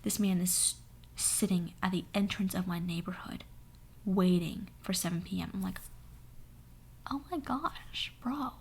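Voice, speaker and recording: A young woman with a hoarse voice telling a sassy, dramatic story in a soft whisper. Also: lowish voice, unhurried, a little hiss or hum. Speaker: ASMR storyteller. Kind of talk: whispered ASMR story time.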